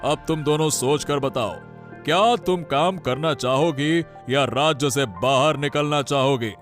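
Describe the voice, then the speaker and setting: A man voicing a commanding, pompous king with a deliberate, authoritative manner in a Hindi cartoon story. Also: a little high, fairly bright tone, soft background music. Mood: stern, threatening. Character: commanding, imperious king giving orders to subjects.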